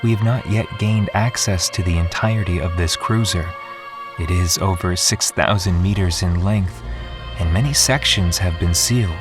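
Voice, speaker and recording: A man playing a detached, introspective dreamer, delivering a measured and formal monologue in an audio drama. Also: low voice, close to an everyday voice. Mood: brooding, detached. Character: brooding, detached dreamer alone on derelict starship. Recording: music behind the voice.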